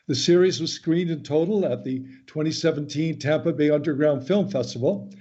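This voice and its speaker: A man's voice: on the high side, warm tone, muffled recording. A man hosting a radio talk show, reading a formal introduction of his guest and then asking questions. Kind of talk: hosted radio interview.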